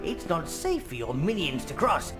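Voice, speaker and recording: An aged goblin-like advisor in a video game. The delivery swings hugely up and down, theatrical and servile, relishing every wicked deed. Prominent music plays behind the voice. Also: male voice, fairly high pitch, huge pitch swings, normal speed. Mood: scheming, advisory. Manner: theatrical, deferential, relishing evil. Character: elderly goblin-like minion advisor, fawning and gleefully wicked. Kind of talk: video game character acting.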